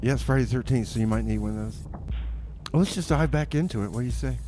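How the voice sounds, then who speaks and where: A man's voice: medium pitch, quick pace, warm tone, a little hiss or hum. A man hosting a community radio music show with casual, folksy, laid-back patter.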